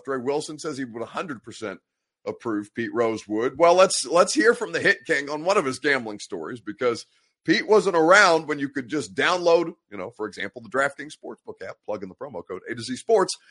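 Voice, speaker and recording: A man's voice: medium pitch, brisk, slightly rough. A young adult man hosting a live sports talk show with a stuffy, congested voice, ranting with exasperated sarcasm and reading out viewer comments. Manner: exasperated, mocking, animated rants with sarcastic quoted voices.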